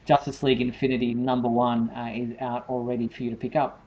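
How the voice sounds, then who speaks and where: A man hosting a live fan news show, announcing items in a halting way with frequent fillers. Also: medium pitch, little pitch movement, lo-fi sound. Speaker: show host.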